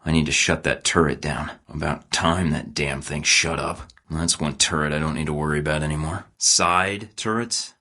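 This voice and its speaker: Flirty sarcastic spy dude from a game, super low husky voice. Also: male voice, steady tone, normal speed, few pauses, sharp tone, full character voice. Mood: wry. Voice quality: very deep, husky voice. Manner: dry sarcastic quips. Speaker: video game protagonist voice. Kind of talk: game voice lines.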